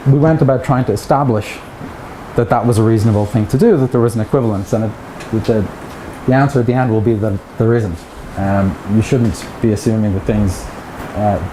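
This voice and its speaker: A middle-aged man with an Irish accent giving a chatty academic seminar talk, with joking asides to the audience. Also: on the low side. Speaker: lecturer. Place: seminar room with projector. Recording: echoey room with background noise.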